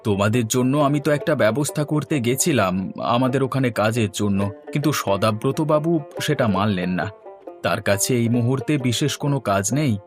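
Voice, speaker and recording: A helpful working man in a cartoon tale, acted by a man. He talks like a bossy, generous older brother, with music clearly playing behind him. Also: mid-range voice, brisk, lightly acted. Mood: kindly firm. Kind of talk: Bengali fairy-tale cartoon dubbing.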